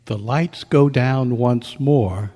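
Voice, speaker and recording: A man's voice: mid-range voice, takes it slowly, mellow. A man in his sixties reading a scripted story live as the narrator. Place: convention meeting room, live. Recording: echoey hall with long reverb.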